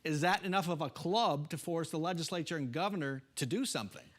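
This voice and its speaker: Higher-voiced American male TV interviewer. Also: fast talker, a bit bright. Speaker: TV political show host. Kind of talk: broadcast panel interview.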